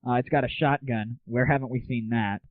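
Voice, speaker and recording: A man's voice: fairly even intonation, fairly quick, no echo. A young American man hosting an audio show, chatting sarcastically and irreverently in a casual comic rant and review style. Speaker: host of an online gaming audio show. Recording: tinny, phone-like low-bandwidth audio, very dry.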